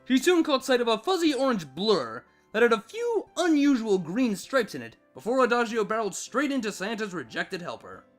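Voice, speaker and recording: A man narrating a scripted story with dramatic, expressive delivery. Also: very high voice, normal speed, few pauses. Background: background music.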